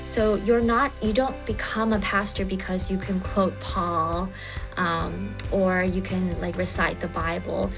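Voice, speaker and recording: A woman in her thirties from China telling a personal story as an interview guest, informal and halting, often saying "like". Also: medium pitch, fairly even intonation, vocal fry, over music. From China, partly United States. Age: 30s.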